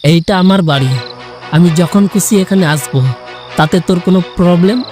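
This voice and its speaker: A man's voice: sits high, moderate pace, a little mellow, soft background music, close to an everyday voice. A man playing an irritable, curt young man in an audio story, scolding and exasperated. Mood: angry, controlled. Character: irritable young man pestered by his lovestruck cousin. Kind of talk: Bengali audio story acting.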